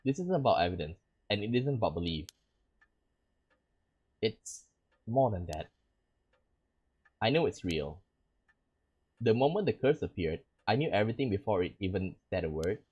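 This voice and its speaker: A man voicing a determined cursed mother, reading the game's dialogue aloud in an earnest, even way. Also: fairly low pitch, moderate pace, long pauses, slightly rough, highs cut off. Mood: grave.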